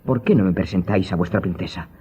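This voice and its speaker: An eager, curious young aristocrat of radio drama, voiced by a man, polite and full of wonder. The voice is low and husky, on a slightly lo-fi recording. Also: quick pace, mellow. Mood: curious, amazed. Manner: inquisitive, courteous, marveling. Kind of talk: Spanish-language radio drama acting.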